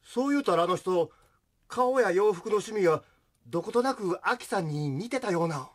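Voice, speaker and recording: A man's voice: high-pitched, animated, normal speed. A man acts out a young suitor from a radio drama, speaking with a Kansai accent. He is earnest and gallant in his delivery. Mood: earnest, grateful.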